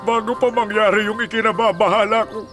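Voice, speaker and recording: A man's voice: high-pitched, fairly even intonation, fairly quick, over music, close to an everyday voice. A man playing an anguished old grandfather in a Filipino radio drama, imploring and emotional.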